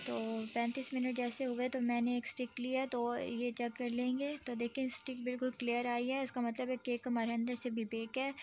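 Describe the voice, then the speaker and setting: Level-toned woman narrating a home recipe. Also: a little high. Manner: steady, explanatory, addresses viewers directly. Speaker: cooking channel host. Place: home kitchen.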